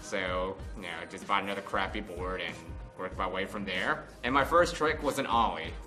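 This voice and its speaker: Bright-voiced young American man telling stories casually. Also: low-pitched, normal speed, faint music behind. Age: 20s. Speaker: vlog host. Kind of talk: casual unscripted storytelling.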